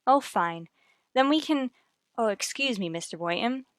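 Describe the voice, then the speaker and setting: An American actress playing a wry, sardonic schoolteacher with dry delivery in a vintage radio sitcom. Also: female voice, fairly high pitch, expressive, moderate pace, a bit bright, dry recording. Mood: coy, wry.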